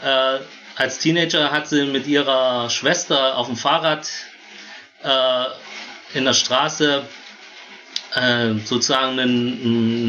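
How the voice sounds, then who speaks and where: Man, thirties, interview guest telling his own story; bright medium-pitched voice, halting conversational delivery. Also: highs cut off. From born in Vietnam, grew up in Germany. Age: 30s.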